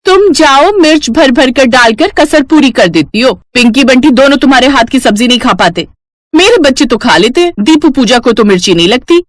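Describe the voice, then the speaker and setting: Bickering young daughter-in-law, played by a woman, raised pitch, shrill, argumentative, moral-story casting. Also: lively intonation, fairly quick, dry recording.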